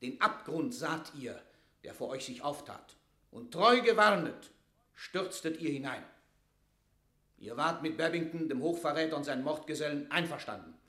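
A stern, sharp-voiced English lord. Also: male voice, a little high, lively intonation, moderate pace, roomy sound. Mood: relentless, accusing. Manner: formal, declamatory, severe. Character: stern English lord, relentless accusing statesman. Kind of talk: classical German stage verse radio acting.

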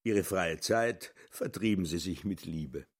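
A man with a fairly low voice reads literary narration in a plain, matter-of-fact tone. His lines are short and even. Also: unhurried, breathy edge. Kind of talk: scripted literary narration for radio drama.

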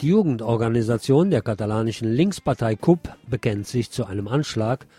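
A man's voice: medium pitch, lively intonation, brisk. A man presenting scripted news on the radio, reading as a presenter.

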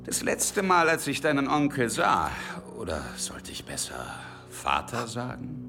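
Radio drama villain: sardonic, sadistic enforcer. Mid-range voice, hugely animated pitch, mocking menace. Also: male voice, measured pace, gravelly, over music, heavily acted. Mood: cold, accusing. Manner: taunting, sardonic, toying with the listener. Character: sadistic, mocking male henchman of an archbishop, cunning and menacing. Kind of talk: German radio drama acting.

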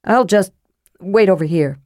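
A woman's voice: mid-range voice, a little slow, a little mellow, dry recording, studio quality, lightly acted. Woman voicing a sheepish, stammering big brother. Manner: hesitant, stammering, apologetic. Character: big, sheepish, slow-witted worried brother. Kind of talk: audiobook character voice.

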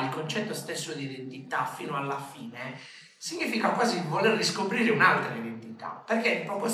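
A man's voice: a little high, pushed and bright. A man speaking as a researcher in an academic discussion, careful with his words and correcting himself as he goes. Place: reverberant conference room. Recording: very echoey room, distant from microphone.

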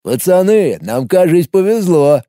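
A man's voice: sits high, expressive, unhurried, dark tone, dry recording, studio quality, pitched above the performer's own voice. A male audiobook reader voicing a crude, brutal thug leader who sneers and threatens. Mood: menacing. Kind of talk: audiobook character voice.

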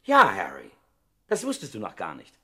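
Swaggering, animated gangster safecracker. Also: male voice, lowish voice, normal speed, husky, heavily acted. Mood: aggrieved. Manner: scoffing, swaggering. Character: boastful, sneering veteran male safecracker gangster. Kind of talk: 1960s German radio comedy acting.

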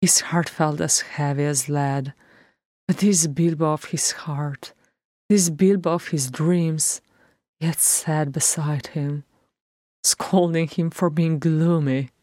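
A woman reading a fan audiobook aloud, narrating in a steady, measured way. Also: low voice, no room sound, clean studio sound. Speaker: audiobook reader.

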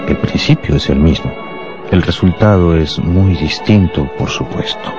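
An actor playing a smug, contemptuous anarchist bomb-maker in a radio drama, speaking deliberately. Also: male voice, sits low. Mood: menacing, boastful. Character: small shabby anarchist bomb-maker, fanatical, contemptuous, boastful. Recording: loud music behind the voice.

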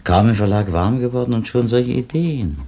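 Actor as a blunt, gravelly veteran editor. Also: male voice, mid-range voice, moderate pace, dark tone, close to an everyday voice. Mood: firm, matter-of-fact. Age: middle-aged or older. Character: seasoned, blunt male publishing editor, world-weary and matter-of-fact. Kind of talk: 1970s German radio drama acting. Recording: noisy 1970s radio drama, train ambience.

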